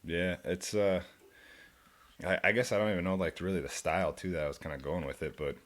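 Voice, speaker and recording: A young man with a deep, low voice, a country musician guest in a studio, talking in a laid-back, rambling way and laughing often. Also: fairly even intonation, brisk. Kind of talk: casual interview chat. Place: radio studio.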